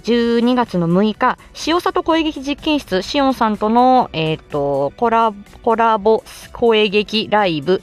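A woman hosting a casual live stream from inside a car, playful and self-deprecating as she gives chatty announcements. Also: mid-range voice. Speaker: livestream host; speech-language therapist.

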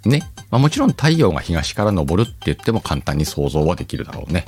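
Deep-voiced man, lively rambling host. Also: faint music behind. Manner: rambling, self-mocking, drawn-out pauses. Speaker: podcast host. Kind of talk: casual solo chat.

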